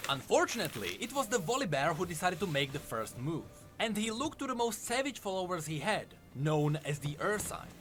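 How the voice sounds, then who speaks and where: A man's voice: high-pitched, very animated, fairly quick, hardly any pauses, fairly bright tone, a little hiss or hum. A man reading scripted narration, telling fantasy game lore as a story. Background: background music under the voice.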